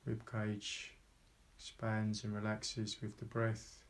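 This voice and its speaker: Male meditation guide. Low voice, very flat pitch, calm and unhurried, leaves space between short phrases. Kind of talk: guided meditation, slow soft instruction.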